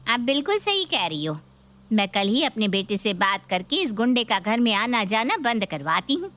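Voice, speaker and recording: Woman acting a bright, high-pitched fussy mother-in-law. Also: big pitch swings, normal speed. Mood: anxious, complaining. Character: middle-aged mother-in-law, fawning, anxious, quietly scheming. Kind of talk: dramatised Hindi story video.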